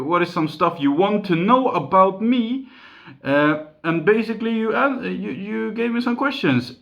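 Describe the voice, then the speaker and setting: Male, 20s, Swedish accent, fairly high voice, casual home vlog on a phone, filler-heavy delivery. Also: a bit bright, muffled recording. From Sweden. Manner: chatty, many fillers and restarts. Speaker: YouTuber. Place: home room. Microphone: phone camera.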